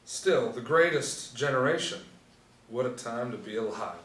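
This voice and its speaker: A man's voice: medium pitch, expressive, normal speed, fairly bright tone, natural voice. A man at a script table read playing a probing, know-it-all young soldier in his twenties. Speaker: actor at a script table reading. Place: indoor room, seated reading panel. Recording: roomy, distant mic, faint noise.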